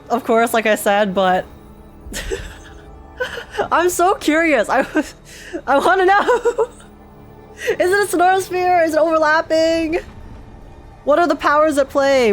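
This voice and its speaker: High-voiced young woman reacting to a game. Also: takes it slowly, pauses often. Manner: excitable, giggly, thinking aloud. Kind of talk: casual game reaction commentary. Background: faint game music.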